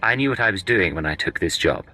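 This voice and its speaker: A man's voice: sits low, animated, fast talker, pushed and bright, faint music behind, rough recording. A man playing a tough, defiant secret agent in a video game, speaking in a terse, cool manner. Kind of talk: video game cutscene acting.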